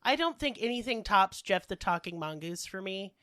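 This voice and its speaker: A woman's voice: mid-range voice, lively intonation, normal speed, pushed and bright. A woman hosting a podcast while sick, telling stories in a casual, chatty, joking way with slang and swearing. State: sick, coughing.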